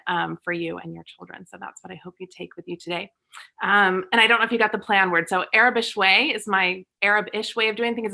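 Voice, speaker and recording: A woman raised in the United States leading a children's story time lesson, talking slowly and cheerfully for young listeners. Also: medium pitch, sharp tone. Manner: upbeat, slow and clear teaching for young kids.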